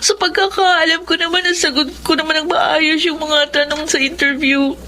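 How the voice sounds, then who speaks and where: A woman's voice: high-pitched, level tone, moderate pace, bright, forceful tone, slight background noise. An actress with a Filipino accent playing an eager, wistful young woman job seeker in a radio drama. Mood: frustrated, tearful. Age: young adult.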